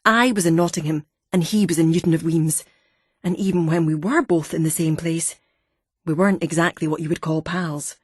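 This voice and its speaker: A woman voicing a gruff, wheezy older ex-miner man with a deep, gravelly voice, a Fife accent and a blunt, irritated manner. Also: steady tone, a little fast, no echo, studio quality. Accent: Fife Scottish.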